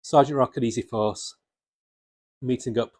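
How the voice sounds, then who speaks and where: A man's voice: mid-range voice, steady tone, moderate pace, darkish tone, dry recording. Casual, enthusiastic man, very dry recording. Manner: enthusiastic, rambling. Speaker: comics video presenter. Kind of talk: casual unscripted fan commentary.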